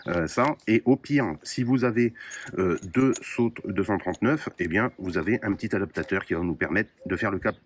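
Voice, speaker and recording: A man showing his radio kit to the camera in a casual, explanatory way, often pausing with hesitant fillers. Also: lowish voice, a little hiss or hum. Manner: explanatory, many "euh" pauses. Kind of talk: casual gear show-and-tell.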